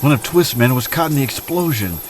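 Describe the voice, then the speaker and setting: An actor playing a noble, confident atomic superhero in an earnest, declamatory old-time radio drama style. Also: male voice, mid-range voice, lively intonation, fairly quick, slight background noise, lightly acted. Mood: urgent.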